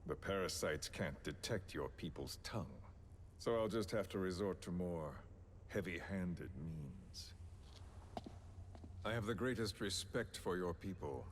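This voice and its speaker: A very deep, slow menacing villain. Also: male voice, lively intonation, slight background noise. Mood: coldly threatening. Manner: slow, deliberate, condescending, theatrical. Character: menacing, philosophical male villain coercing a captive. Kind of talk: dramatic video game voice acting.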